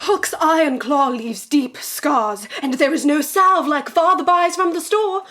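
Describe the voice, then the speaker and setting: An earnest, loyal young girl played by a woman speaks in a very high voice. The delivery is theatrical, as in a children's audio drama. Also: a little slow, fairly bright tone. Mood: matter-of-fact. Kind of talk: audio drama acting and narration.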